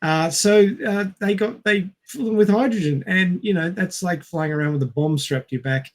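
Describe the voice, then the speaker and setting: A man's voice: high voice, normal speed. A man talking casually on a discussion podcast, explaining things in a dry, understated way. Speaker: podcast co-host or guest.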